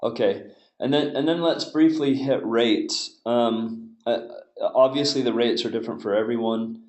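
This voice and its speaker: A man hosting a webinar, asking questions in a hesitant way with frequent pauses and restarts. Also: medium pitch, a little mellow, echoey room, low-quality recording. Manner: hesitant, many fillers and restarts. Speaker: webinar host. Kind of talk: webinar moderation.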